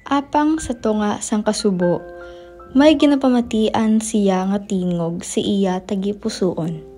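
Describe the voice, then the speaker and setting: A woman's voice: mid-range voice, a little slow, dark tone. A woman with a Filipino accent narrating a scripted radio drama. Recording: music bed under the voice.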